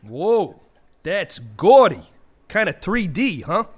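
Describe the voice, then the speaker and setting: A man's voice: high voice, sing-song, takes it slowly, husky, heavily acted. An actor playing a crass, sarcastic, slangy male vulture in a comic audio play. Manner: wisecracking, slangy, streetwise, mocking.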